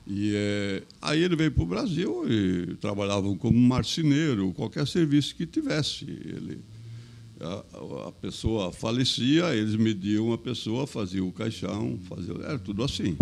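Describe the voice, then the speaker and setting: An older man, a podcast guest talking in a halting, plain-spoken way with many repeated phrases. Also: on the low side, expressive, gravelly, darkish tone. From Brazil. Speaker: podcast guest, business owner. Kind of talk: casual interview chat.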